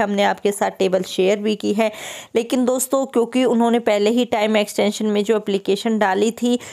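A woman hosting a news channel, giving a steady voice-over update and addressing viewers repeatedly. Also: medium pitch. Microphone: voice-over for screen recording.

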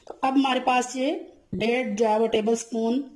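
Soft-toned woman narrating a recipe. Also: on the high side, fairly even intonation, normal speed. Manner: leans on the filler "jo hai vo", gentle instructive tone. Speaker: cooking channel host. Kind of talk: step-by-step recipe voice-over.

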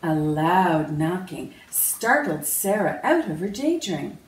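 A woman narrates a children's storybook slowly, with lively, swinging intonation. Her delivery is measured and expressive. The recording is roomy, picked up at some distance from the microphone. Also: on the low side. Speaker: author reading own picture book aloud. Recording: roomy, distant mic, faint noise.

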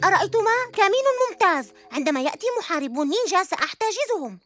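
A woman's voice: very high-pitched, very animated, rapid-fire, fairly bright tone, soft background music, heavily acted. A woman voicing a confident young boy superhero in a cartoon dub, eager and boastful about his strength. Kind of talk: cartoon dubbing.

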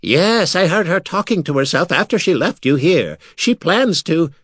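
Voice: medium pitch, very animated, a bit bright, dry recording, clean studio sound, voice pushed up. A man voicing an urgent, hasty talking skull in an audiobook. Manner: urgent, hurried. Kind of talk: audiobook character voice.

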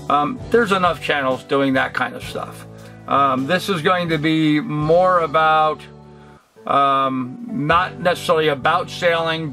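An older man talking earnestly to the camera from a cluttered workshop, reflective and halting with many ums and restarts. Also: on the high side, bright, forceful tone. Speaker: vlogger. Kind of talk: casual talk to camera. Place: cluttered indoor workshop room.

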